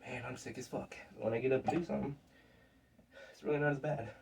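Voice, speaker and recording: A young man with a sick, scratchy voice and a sore throat, talking casually through his workout in a rambling, relaxed way with fillers. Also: medium pitch, fast talker, creaky. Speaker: fitness vlogger. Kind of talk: casual workout vlog talk. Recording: distant mic with faint background noise.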